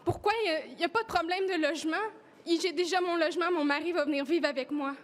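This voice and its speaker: A woman's voice: very high voice, fast talker. A woman with a Québécois accent giving an earnest, emotional personal testimony at a press conference. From Quebec.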